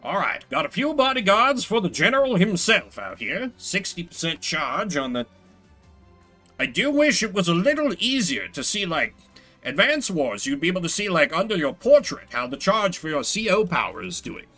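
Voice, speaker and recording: A man's voice: sits high, big pitch swings, moderate pace, pushed and bright, soft background music. A man streaming a strategy game, musing aloud as he talks himself through his decisions. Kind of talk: live strategy game commentary, thinking aloud.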